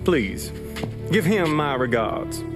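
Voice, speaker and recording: A genteel bootlegger, high-voiced and slow, with big swings in pitch. He is courteous and folksy but excitable, a crime game character heard over loud music. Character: genteel, excitable male bootlegger supplying whiskey to mobsters.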